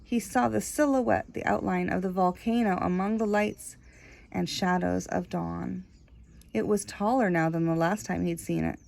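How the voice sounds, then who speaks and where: A woman's voice: lowish voice, steady tone, moderate pace, vocal fry, darkish tone. A woman reading a children's story aloud expressively, stretching key words for emphasis. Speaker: storybook reader. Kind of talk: expressive read-aloud narration of a children's book, with casual asides.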